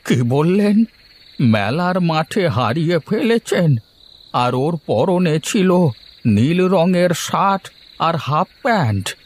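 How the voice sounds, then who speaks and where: A man playing a weary, gentle old farmer in a cartoon fairy tale, talking in a folksy way. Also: on the high side, sing-song, takes it slowly, full character voice. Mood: moved.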